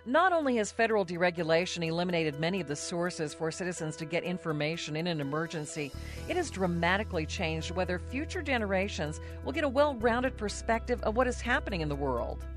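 American female news reporter, lowish voice, formal scripted delivery over a soft music bed. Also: lively intonation. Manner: formal, measured. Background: faint music under narration.

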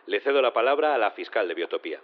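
An actor playing a businesslike judge in a sci-fi audio drama, measured and official. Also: male voice, fairly low pitch, lively intonation, narrow, tinny audio, close to an everyday voice. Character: formal, businesslike male judge in a sci-fi court.

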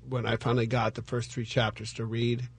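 A man talking as a guest in a casual interview, earnest and halting, about his partner's work. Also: medium pitch, completely flat delivery, slightly rough, no echo.

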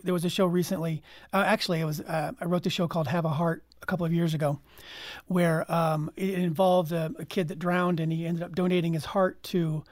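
A man telling anecdotes casually as an interview guest, halting as he feels his way through sentences. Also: fairly high pitch, dry recording, clean studio sound. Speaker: guest, audio drama writer.

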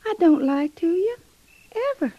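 An American actress playing a gentle, loving pregnant young frontier wife in an old-time radio drama, with soft, folksy rural speech. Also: female voice, extremely high pitch, animated, normal speed. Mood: reassuring, weary. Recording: dull vintage radio recording.

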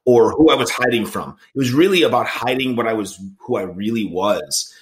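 A man's voice: medium pitch, lively intonation, a little fast, slight background noise. A man in his late forties hosting a casual interview, talking in an earnest, rambling way with frequent restarts. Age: 40s. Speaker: podcast host in recovery.

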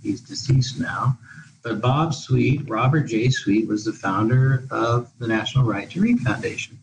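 A man's voice: mid-range voice, measured pace, vocal fry, background noise. Rambling male podcast guest. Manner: discursive, many restarts. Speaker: guest education expert. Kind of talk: podcast interview. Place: living in Portugal.

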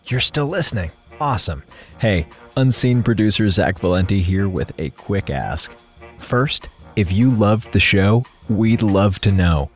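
A man's voice: lowish voice, animated, unhurried. A man, a producer reading scripted podcast credits and addressing listeners. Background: music.

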